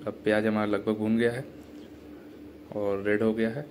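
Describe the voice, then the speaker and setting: A man's voice: lowish voice, level tone, normal speed. A young man, chatting casually to viewers while cooking in a small home kitchen. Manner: chatty, addresses viewers as brother. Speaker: cooking vlogger. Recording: echoey room with faint ambient noise.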